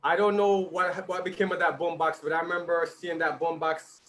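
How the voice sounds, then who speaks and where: A man's voice: a little high, level tone, normal speed, a bit bright, a little hiss or hum. A man hosting a birthday tribute for his father, reading out messages with chatty, informal asides as his voice grows tired. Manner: informal, chatty, slight stumbles. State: tiring, slightly hoarse voice.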